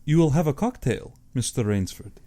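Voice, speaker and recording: A man's voice: medium pitch, animated, dark tone, close to an everyday voice. A man voicing a suave, courtly aristocratic Russian general, an affable but sinister hunter, in an audiobook. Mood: affable, probing. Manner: courtly, measured, self-satisfied.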